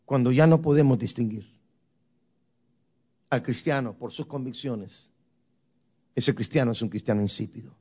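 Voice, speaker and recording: A man's voice: mid-range voice, moderate pace, long pauses, breathy edge, highs cut off. A man from Guatemala preaching a sermon as pastor, with emphatic, rising and falling intensity. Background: soft music.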